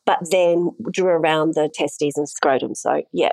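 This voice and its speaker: A woman's voice: low voice, steady tone, unhurried, creaky, darkish tone, dry recording, rough recording. A woman, an intimacy coordinator, talking through her work frankly in a casual interview and laughing easily.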